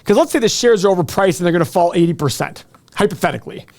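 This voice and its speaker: A man's voice: high-pitched, expressive, very fast, a little mellow. A man hosting live stock earnings commentary, talking conversationally and explaining things directly to viewers. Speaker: finance show host.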